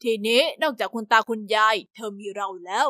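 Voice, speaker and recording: A kind adoptive father from a spoken story, talking in a gentle, encouraging way, as a woman narrator puts him on. She drops her voice noticeably lower and changes its colour, and the recording is dry and close. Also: fairly quick, no echo.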